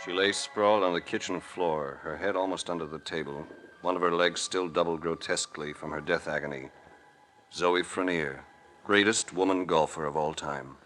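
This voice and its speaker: Casting: deep, forceful American hero-narrator, hard-boiled and sardonic, vintage radio sound with light hiss. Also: male voice, normal speed, faint music behind. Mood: grim narration. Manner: deadpan, sardonic, dry understatement. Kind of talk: 1940s radio detective acting and narration.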